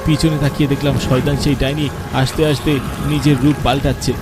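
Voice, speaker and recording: A man's voice: mid-range voice, flat delivery, brisk, natural voice. A man voicing an anxious young grandson who narrates his flight in a horror cartoon, tense and dramatic. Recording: music and rain behind.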